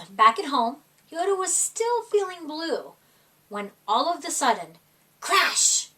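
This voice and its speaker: A woman with a high-pitched voice reads a children's story slowly and dramatically. She stretches key words for young listeners in an animated storytime delivery. The voice sits a little way from the mic. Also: recorded from a distance. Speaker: storyteller reading a children's picture book. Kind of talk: expressive children's storytime read-aloud.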